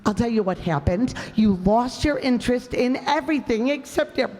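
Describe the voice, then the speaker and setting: A woman voicing a nagging, sharp-tongued wife in a community radio comedy play, scolding and sarcastic. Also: fairly high pitch, animated, normal speed, close to an everyday voice. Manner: scolding, sarcastic, swings into tearful pleading. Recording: stage recording with long hall reverb.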